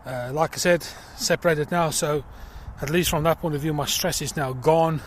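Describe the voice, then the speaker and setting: A middle-aged man with a South African accent, talking earnestly about himself in a personal vlog while out walking in a field, with many pauses and fillers. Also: fairly high pitch, fairly even intonation, slight background noise. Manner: earnest and reflective, pausing with fillers. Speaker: crowdfunding campaigner. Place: outdoors in a field.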